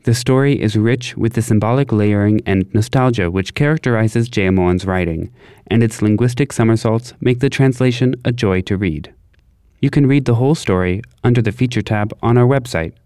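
A man hosting a podcast with a measured, polished delivery, reading a scripted introduction and then asking interview questions. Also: on the low side, warm tone, smooth, no echo, studio quality.

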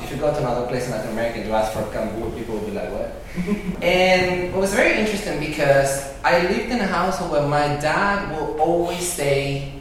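A man speaks on a panel, reflective and hesitant, often pausing with fillers. He is recorded from far off in a very echoey room, with faint noise behind him. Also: medium pitch. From Venezuela. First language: Spanish. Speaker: poet and translator. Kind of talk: panel talk.

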